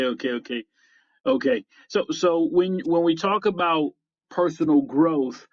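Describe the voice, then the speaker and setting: A middle-aged American man hosting a motivational podcast, speaking in deliberate, emphatic phrases with repetition. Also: on the high side, dry recording. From upstate New York, United States. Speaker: podcast host. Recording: slightly dull, dry lo-fi audio.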